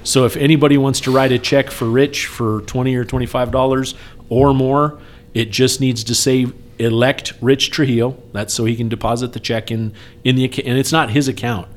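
Male podcast speaker, mid pitch. Earnest, persuasive, conversational appeal with emphatic repetition. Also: fairly even intonation, normal speed, a little hiss or hum. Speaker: speaker appealing for campaign support. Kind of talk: casual podcast talk.

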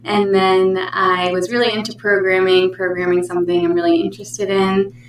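A woman's voice: medium pitch, animated, normal speed. A woman counselor answers interview questions in a friendly, chatty way. The room gives her voice an echo, and the mic is some distance away. Faint hum behind. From Pennsylvania, USA. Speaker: counselor and program manager. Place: library meeting room.

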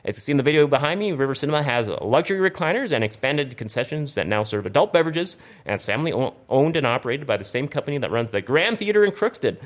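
A man with a medium-pitched voice hosts a casual gaming podcast. He rambles along informally, tripping on words and fixing them as he goes. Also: very animated, fast, hardly any pauses. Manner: rambling, stumbles over words and corrects himself. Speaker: podcast host. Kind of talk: casual video game talk show with plugs and sponsor reads.

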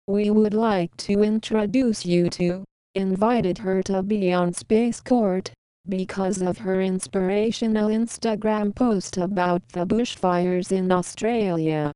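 A woman co-host reading a measured introduction of the guest. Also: medium pitch, steady tone, warm tone, clear, resonant tone, no room sound, poor audio.

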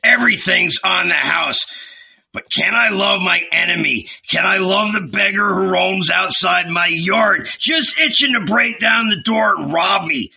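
A man voicing an irascible, scornful old village elder in an audiobook, shouting, sneering and taunting. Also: high-pitched, a little slow, vocal fry, breathy edge, dull audio, voice pushed up, heavily acted. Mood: mocking, outraged.

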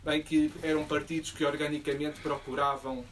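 Distant, higher-voiced man speaking haltingly. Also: level tone. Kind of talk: spontaneous political commentary at a public discussion. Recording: distant room microphone, faint background noise.

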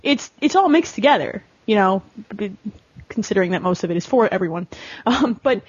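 A woman's voice: mid-range voice, animated, moderate pace, slightly rough, no room sound. A woman chatting casually and bluntly on a panel, with some mild swearing. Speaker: podcast guest and female gamer. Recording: dull, lo-fi voice recording.

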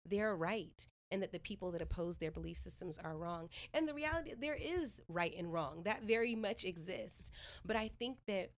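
A woman's voice: fairly low pitch, lively intonation, normal speed. A woman from Northern California, a playwright and professor, talking through ideas in a thoughtful podcast conversation, with many "like"s and restarts. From Northern California, USA.

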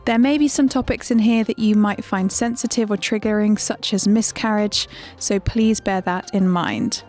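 A young woman hosts her podcast in a friendly, upbeat manner. Her voice sits at an ordinary middle pitch. She moves from a prepared introduction into relaxed conversation with her guest. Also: normal speed, soft background music. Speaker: podcast host. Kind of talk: scripted intro then casual interview.

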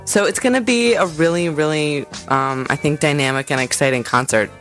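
A woman's voice: deep voice, brisk, a bit bright, faint music behind. A woman singer-songwriter speaking as an interview guest, chatting informally and thinking aloud as she goes. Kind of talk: casual interview chat.

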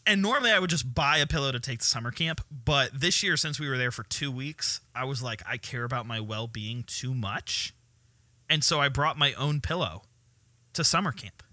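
A man's voice: mid-range voice, expressive, moderate pace, sharp tone, dry recording. A young man, a student pastor, co-hosting a casual podcast chat, joking and laughing often.

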